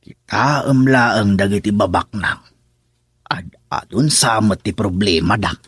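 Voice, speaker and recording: An older father in a radio drama, calm and concerned. His Ilocano-accented voice is low and husky, and he speaks very slowly. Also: no echo, studio quality.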